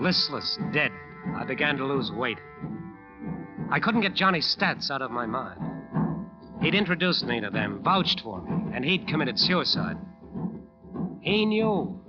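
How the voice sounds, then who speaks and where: Haunted, exhausted bandleader, old radio. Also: male voice, on the high side. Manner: weary, halting, intense, brooding first-person narration. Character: haunted, exhausted bandleader wasting away under a curse. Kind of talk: 1940s-50s radio drama acting. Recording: dull old radio recording with music behind.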